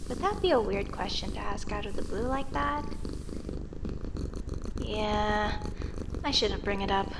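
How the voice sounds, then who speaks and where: A woman's voice: mid-range voice, measured pace, pauses often. A woman playing a friendly, teasing young female werecat in a comedic audio roleplay, talking to herself expressively with hesitant stammers. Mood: soothed, wondering. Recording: steady rain ambience noise behind voice. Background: rain ambience.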